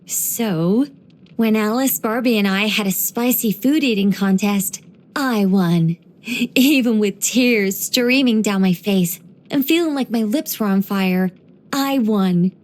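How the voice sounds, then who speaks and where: A woman voicing an ancient angel in a video game, dignified yet whimsical and chatty, with a measured, gently playful delivery. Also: medium pitch, lightly acted. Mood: chatty, proud. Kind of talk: video game character voice lines.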